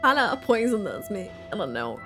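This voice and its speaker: High, lively woman reacting to a game. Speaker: gaming content creator. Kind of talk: casual live reaction commentary over gameplay. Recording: faint game music behind.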